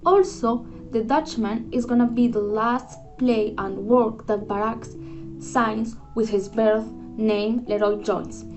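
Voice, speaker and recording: A woman's voice: a little high, steady tone, slow. A young woman, a student giving a prepared book review in an earnest, careful way with a few restarts. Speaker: student presenter. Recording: dull lo-fi voice with music behind. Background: guitar music.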